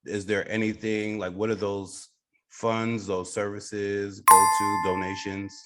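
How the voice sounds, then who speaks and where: A man's voice: lowish voice, level tone, slow, very noisy. A man hosting a podcast, interviewing a guest in a casual conversational way. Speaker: podcast host.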